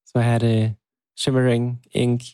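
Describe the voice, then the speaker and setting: A man's voice: medium pitch, monotone, normal speed, dark tone, clear, resonant tone, no room sound. A man co-hosting a podcast in casual conversation, with hesitant, thoughtful phrasing. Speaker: podcast co-host. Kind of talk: casual chat.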